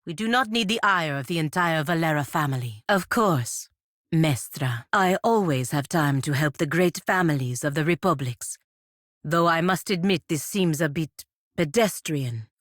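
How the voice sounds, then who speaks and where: An actress playing a proud, stern paladin envoy in a fantasy video game, speaking in a formal, haughty, measured way. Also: female voice, deep voice, animated, no room sound, studio quality. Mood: cool, disapproving. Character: proud, stern, dutiful female paladin envoy of a merchant republic.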